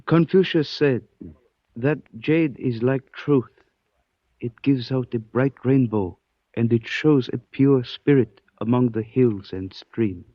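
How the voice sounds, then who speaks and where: An actor playing a calm, courteous Chinese antique shopkeeper who speaks formally and philosophically in a 1940s radio drama. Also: male voice, mid-range voice, slow pace, no room sound. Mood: serene, cryptic. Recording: dull old 1940s radio recording.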